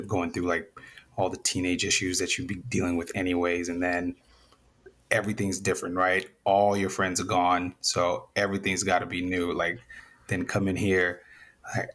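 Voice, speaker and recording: A young man from Zambia, a guest in a casual interview, talking in a relaxed, rambling way with many restarts. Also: low voice. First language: Nyanja.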